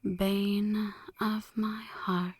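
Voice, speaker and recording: A woman playing a flirty, brooding female vampire in an ASMR roleplay, speaking in hushed, breathy whispers with a teasing, poetic delivery. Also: mid-range voice, flat delivery, extremely slow. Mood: teasing, seductive. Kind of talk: ASMR roleplay, scripted dramatic monologue.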